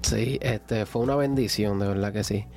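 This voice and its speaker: A young man from with a naturally raspy voice, telling his story as a guest on a radio show. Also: fairly low pitch, moderate pace, darkish tone, faint music behind. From Puerto Rico. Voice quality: hoarse, raspy voice. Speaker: guest singer and radio announcer.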